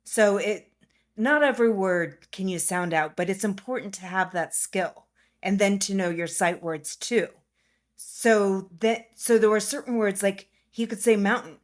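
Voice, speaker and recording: A woman's voice: mid-range voice, unhurried. A woman who is a private tutor, answering interview questions in a plain, explanatory way. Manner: plain, explanatory, matter-of-fact.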